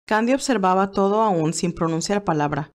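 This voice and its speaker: A woman's voice: medium pitch, moderate pace. A woman reading scripted story narration in Spanish, telling a tale. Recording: music behind the voice. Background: classical music.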